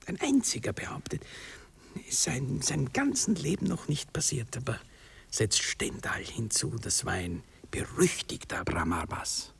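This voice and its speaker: A lovesick young gentleman in an old German radio play. He half-whispers in a crackling, creaky voice that rises and falls freely. He stammers and feels sorry for himself, nervous and thin-skinned. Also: medium pitch, huge pitch swings, full character voice. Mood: awkward, explaining. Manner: halting, stammering, breathy, self-pitying. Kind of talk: 1960s German radio drama acting.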